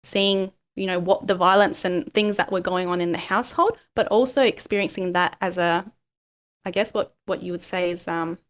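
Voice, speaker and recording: Halting, reflective woman. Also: lowish voice, fairly even intonation. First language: Cantonese. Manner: halting, many pauses and ums, reflective. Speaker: guest, life coach. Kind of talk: candid personal interview.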